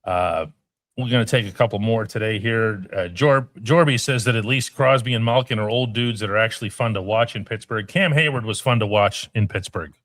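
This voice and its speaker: A man's voice: lowish voice, a little fast, fairly bright tone, no room sound. A man hosting a live sports podcast, reading viewer comments in a firm, emphatic way. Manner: firm, emphatic, repeats himself for stress.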